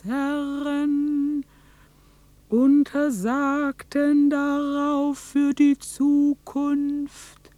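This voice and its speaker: A high-pitched old countrywoman in a radio play, stubborn and curt. The recording is close and dry, and she insists on her rights with fierce defiance. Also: level tone, very slow, dark tone, no room sound. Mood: slow, incantatory. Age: older. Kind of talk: German radio drama acting.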